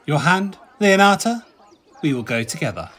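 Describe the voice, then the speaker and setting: A man's voice: on the high side, big pitch swings, normal speed, a bit bright. A man playing a genial, courtly prince with a gracious, jovial manner in a Shakespearean radio play.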